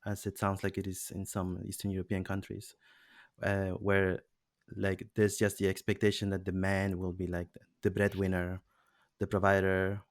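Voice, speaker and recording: A man's voice: sits low, monotone, moderate pace, no echo, studio quality. A man from Peru hosting a casual podcast discussion, thoughtful and hesitant, with frequent restarts. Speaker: podcast host.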